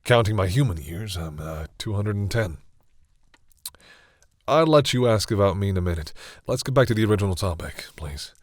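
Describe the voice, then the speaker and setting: A man playing a centuries-old vampire in an intimate audio roleplay, speaking formally but haltingly in a husky, breathy voice. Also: fairly low pitch, animated, dry recording, studio quality. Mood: earnest, explaining. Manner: hesitant, halting, formal phrasing. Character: centuries-old male vampire, courteous, hesitant, commanding with servants.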